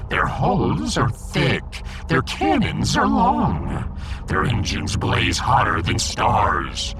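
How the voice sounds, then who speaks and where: A man's voice: medium pitch, exaggerated voice. A man voicing a pompous, gloomy little alien fish emperor in a sci-fi audiodrama, theatrical, long-winded and imperious. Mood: imperious, menacing. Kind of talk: sci-fi audiodrama character acting.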